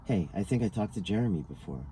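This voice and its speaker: A man's voice: low-pitched, expressive, fast talker, warm tone, rough recording. A man reading a scripted interview dialogue aloud, taking each speaker's lines in turn. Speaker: reader of a written transcript. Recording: noticeable background noise.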